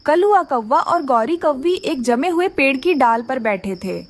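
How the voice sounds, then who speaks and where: A woman's voice: high-pitched, lively intonation, measured pace. A woman giving scripted narration for a children's animal story.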